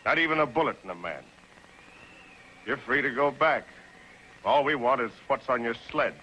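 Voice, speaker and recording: An actor playing a cold, mocking outlaw, curt and menacing, in an old television western. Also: male voice, medium pitch, expressive, moderate pace, sharp tone, a little hiss or hum, rough recording. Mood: cold, controlled. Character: cold, mocking male outlaw claim-jumper. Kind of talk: 1950s television western acting. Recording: old TV soundtrack with music behind.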